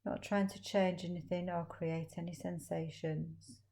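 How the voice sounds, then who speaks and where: Low, mellow woman guiding a meditation. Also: level tone. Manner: slow, gentle, soothing instruction with long pauses. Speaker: mindfulness meditation teacher. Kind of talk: guided meditation.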